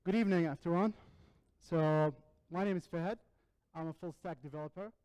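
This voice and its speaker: A man, presenting his software projects earnestly and restarting words as he goes. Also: fairly high pitch, normal speed, rough recording. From Iraq. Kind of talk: capstone demo presentation.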